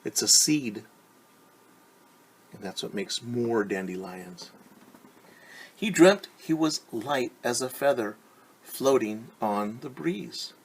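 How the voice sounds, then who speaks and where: Husky, slow-paced man reading to children. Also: mid-range voice, expressive, low-quality recording. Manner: gentle, patient, asks questions. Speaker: teacher reading a story. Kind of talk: read-aloud storytelling with explanations for young children.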